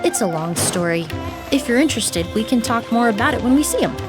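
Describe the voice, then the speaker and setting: Casting: woman voicing a poised young perfumer, slightly high, courteous and earnest, light music behind. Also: expressive, lightly acted. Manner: polite, composed, measured. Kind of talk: video game voice acting.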